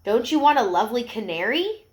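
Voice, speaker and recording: A woman voicing a gentle, patient mother dog in a storybook, coaxing and motherly. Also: on the high side, very animated, brisk.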